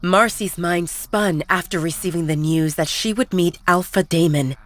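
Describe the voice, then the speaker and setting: A woman narrating a romance audio story in a dramatic, expressive storytelling manner. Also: lowish voice, normal speed. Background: background music.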